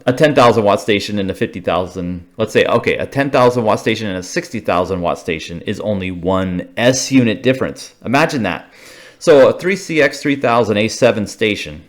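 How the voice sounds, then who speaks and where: An American man giving an informal, unscripted technical explanation, thinking aloud haltingly and checking in with short tags. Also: on the low side. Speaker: radio hobbyist explainer.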